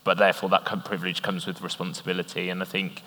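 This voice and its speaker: A man moderating a live conference panel, speaking earnestly and in a measured way. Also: sits low, level tone, sharp tone. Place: conference hall, on stage. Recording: reverberant hall.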